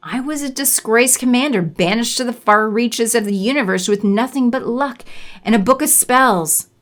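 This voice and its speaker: A woman's voice: on the high side, normal speed, natural voice. A woman playing a haughty, condescending villainous sorceress in an audio drama, with theatrical, menacing delivery. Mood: grave warning.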